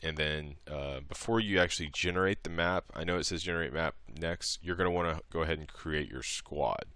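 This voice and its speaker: A man's voice: extremely low pitch, brisk, sharp tone, clean tone, no echo. A man presenting a modding tutorial as a casual screen-recorded walkthrough, explaining each step in an informal, offhand way.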